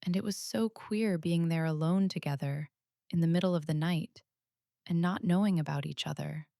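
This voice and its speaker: A woman voicing an earnest, matter-of-fact little girl who speaks softly but firmly, in a storybook reading. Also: on the low side, moderate pace, gravelly, no echo, studio quality. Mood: wondering.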